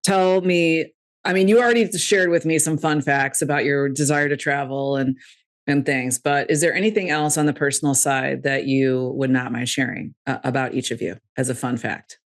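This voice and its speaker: Encouraging low-voiced woman host. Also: moderate pace. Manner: encouraging, laughs often. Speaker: podcast host. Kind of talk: casual interview.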